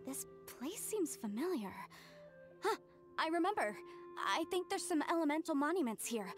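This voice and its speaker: An actress playing an earnest young researcher of ancient ruins in a video game, explaining things. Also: female voice, high voice, very animated, normal speed, music in the background. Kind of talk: English video game voice acting.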